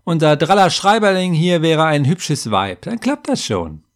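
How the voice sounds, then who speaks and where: A man's voice: a little high, big pitch swings, unhurried, pitched above the performer's own voice. A man voicing a small, grumpy, cynical half-gnome adventurer with a growling, sarcastic manner in a fantasy story.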